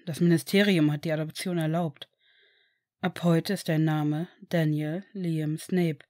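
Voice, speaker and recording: A protective father figure with a soft, slow, hushed delivery, as a woman reader drops her voice to play him. His tone stays lowered and tender throughout. The recording is dry and close, with no room sound. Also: fairly even intonation, dry recording. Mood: soothing. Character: gentle protective adult male father figure. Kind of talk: audiobook character voice.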